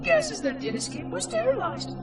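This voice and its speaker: An echoing, very high, synthetic voice of an ancient robot caretaker. He speaks in formal, exact, theatrical phrases, fussy and dutiful yet curious and lonely, with sweeping intonation, like a log entry in a sci-fi video game. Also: huge pitch swings, normal speed, full character voice. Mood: solemn, regretful. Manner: precise, formal, theatrical enunciation. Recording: distant, echoing, music underneath. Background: music.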